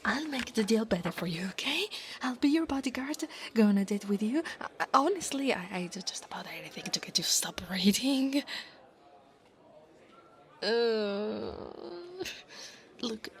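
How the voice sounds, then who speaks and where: A woman's voice: fairly high pitch, lively intonation, unhurried. A woman playing a haughty, insecure young dragon girl bully with a sneering, taunting manner in an ASMR roleplay. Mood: desperate pleading. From Italy.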